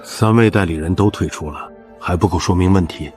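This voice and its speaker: A man playing a cautious, officious law-firm colleague in an animated drama, businesslike and admonishing. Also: low voice, a little fast, faint music behind, close to an everyday voice.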